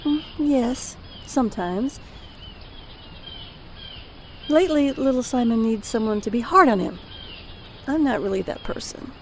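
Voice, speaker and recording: A weary, lonely mother, acted by a woman in an audio drama. Her voice is slightly high with lively intonation, over faint background noise. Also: normal speed, lightly acted. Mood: confiding, resigned.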